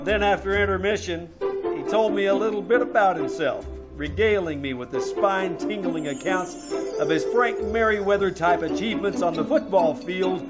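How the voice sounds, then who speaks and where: A man with an American accent playing a wry, exasperated private detective in a live old-time radio drama, with deadpan, hesitant delivery. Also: sits high, normal speed, over loud music, close to an everyday voice. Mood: dry narration. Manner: deadpan, hesitant wisecracks and dry first-person narration. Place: small theater stage, live performance.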